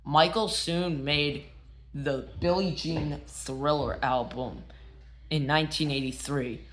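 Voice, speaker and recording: A man reads a biography aloud in a slightly high voice. He takes his time, setting out each phrase in a plain, measured storytelling way. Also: a bit bright, slight background noise. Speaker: narrator and show host. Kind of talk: read-aloud biography narration.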